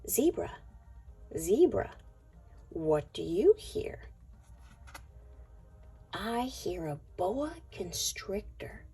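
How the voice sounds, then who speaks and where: Very slow, soft dark-voiced woman reading aloud. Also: mid-range voice, very animated, gravelly, breathy edge, soft background music. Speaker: children's book reader. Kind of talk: expressive picture-book read-aloud for young children.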